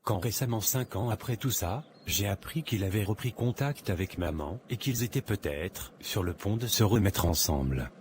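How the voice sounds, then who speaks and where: A man playing a troubled, brooding young man in an animated drama, subdued and grave. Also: on the low side, normal speed, few pauses, lightly acted. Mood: bitter, resolute. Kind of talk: dramatic dialogue in an animated short. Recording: faint background noise.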